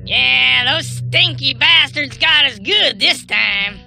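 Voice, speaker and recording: A woman's voice: sits high, slow, creaky, soft background music, exaggerated voice. A woman voicing a scatterbrained, feisty chicken-like townsperson in a western video game, with a rustic American drawl and a squawky voice. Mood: muddled. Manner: folksy, excitable.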